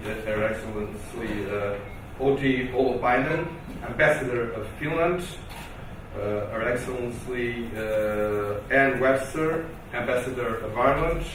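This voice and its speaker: A man's voice: mid-range voice, noisy background. Man with portuguese accent, hesitant formal speech, full of fillers, very echoey hall, heard from some distance. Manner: hesitant, many fillers and restarts. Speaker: conference organiser giving opening remarks. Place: large conference hall.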